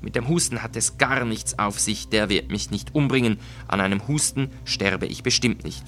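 A man voicing a proud, tipsy, excitable nobleman in a story reading, boastful and dismissive. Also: lowish voice, moderate pace, faint music behind. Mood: dismissive, irritated.

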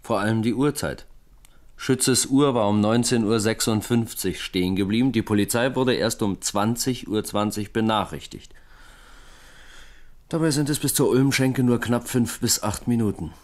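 An actor playing a calm, methodical police investigator in a 1970s East German radio crime drama, terse and matter-of-fact. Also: male voice, lowish voice, normal speed, close to an everyday voice. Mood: sober, pensive.

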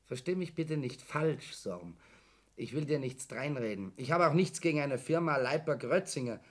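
A man plays a young would-be student in a 1960s radio drama. His mid-pitched voice is hesitant and conciliatory yet stubborn. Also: lively intonation, normal speed, close to an everyday voice. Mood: defensive, stubborn. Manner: hesitant, appeasing. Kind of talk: 1960s German radio play acting.

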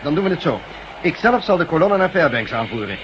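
Through a dull, noisy old recording comes a gruff camp chief in a radio drama. He speaks fast in a fairly high voice, domineering and used to giving orders. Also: sing-song, a bit bright. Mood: brisk, grim. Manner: commanding, brisk. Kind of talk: Dutch radio drama acting.

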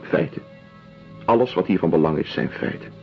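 Radio drama: a low-voiced, world-weary doctor, deliberate and detached, on a muffled lo-fi recording. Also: male voice, expressive, vocal fry, faint music behind. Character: weary, detached doctor-writer documenting a penal colony. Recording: dull lo-fi radio play recording.

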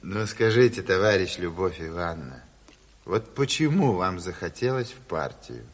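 Sly folksy Soviet official, deep-ish husky voice, mock-polite, teasing, radio drama, reverberant studio. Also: male voice, lively intonation, moderate pace. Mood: mock-polite, probing. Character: sly, folksy revolutionary Party official feigning courtesy.

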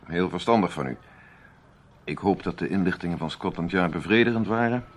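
A man playing a suave, imperious middle-aged army major in a radio drama, courteous, measured and condescending. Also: deep voice, a little hiss or hum, lightly acted. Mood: drily amused.

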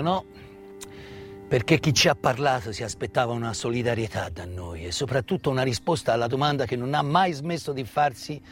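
Solemn male stage storyteller over music. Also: mid-range voice. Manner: solemn, emphatic, with deliberate pauses and repetitions. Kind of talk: theatrical testimony monologue.

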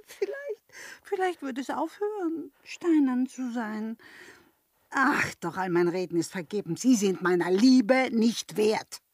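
Pert, high-voiced young maid. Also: female voice, animated, unhurried, darkish tone, dry recording, clean studio sound. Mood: scornful, wounded. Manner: coquettish, teasing, theatrical. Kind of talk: German radio play acting.

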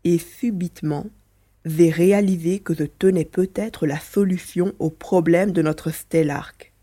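Woman voicing a mischievous, mellow mouse-beaver alien. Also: lowish voice, fairly even intonation, measured pace. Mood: earnest explaining. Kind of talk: French audio drama dialogue.

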